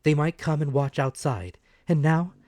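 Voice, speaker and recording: Storybook innkeeper mother, played by a man: pitched well up, emphatic, determined, scolding, with an anxious edge. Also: expressive, moderate pace, no room sound, studio quality, lightly acted. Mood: anxious, urgent. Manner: emphatic, forceful delivery. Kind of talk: dramatic storybook reading.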